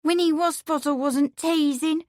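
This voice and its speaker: A woman's voice: sits high, fairly even intonation, a little slow, a little mellow, dry recording, studio quality, pitched above the performer's own voice. A woman voicing a young maidservant, tearful and scheming, with pleading, sighing delivery. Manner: tearful, pleading, breathy sighs.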